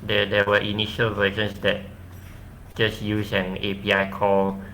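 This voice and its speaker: A man answering questions after his own tech presentation over a video call, explaining haltingly with frequent restarts. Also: fairly low pitch, completely flat delivery, a bit bright, a little hiss or hum, poor audio. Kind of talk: casual tech talk Q&A.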